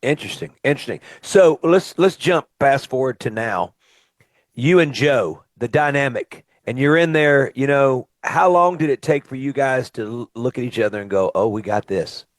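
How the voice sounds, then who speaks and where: A man's voice: mid-range voice, animated, normal speed, no room sound. A middle-aged man from Mississippi, hosting a casual radio interview and chatting eagerly with plenty of "uh" fillers. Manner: enthusiastic, chatty, frequent "uh" fillers. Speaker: radio show host and singer-songwriter.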